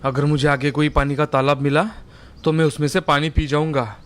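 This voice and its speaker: Man voicing a gentle cartoon older brother. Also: fairly high pitch, level tone, moderate pace, close to an everyday voice. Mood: thirsty. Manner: gentle, reassuring. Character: caring older brother, young, later a deer. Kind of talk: cartoon story voice acting.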